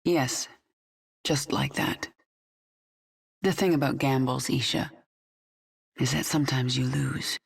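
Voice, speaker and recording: A woman's voice: rumbling low, steady tone, slow, creaky, long pauses, husky, full character voice. An actress playing a commanding, determined alien swarm queen in a video game, speaking with steely authority. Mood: composed, dry. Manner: authoritative, resolute. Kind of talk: video game cinematic acting. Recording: game music behind.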